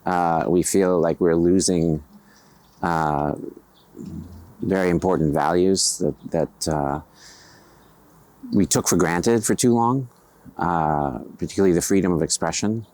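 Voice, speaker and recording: Low-voiced American man, hesitant. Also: darkish tone. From United States. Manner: measured, hesitant, frequent fillers and restarts. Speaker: interviewee, writer. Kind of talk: thoughtful interview answers.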